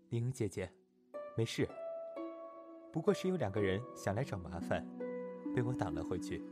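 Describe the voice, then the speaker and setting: A man playing a calm, proud young zither player of a pleasure house in a Chinese costume audio drama, soft-spoken and reserved. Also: fairly low pitch, a little slow, pauses often. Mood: nonchalant. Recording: loud music over the voice.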